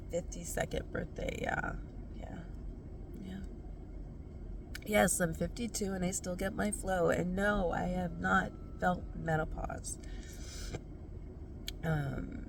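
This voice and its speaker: A woman in her fifties speaking slowly in a reflective, halting monologue, often correcting herself. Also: lowish voice, vocal fry, background noise. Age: 50s. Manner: slow, halting, self-correcting speech. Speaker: vlogger.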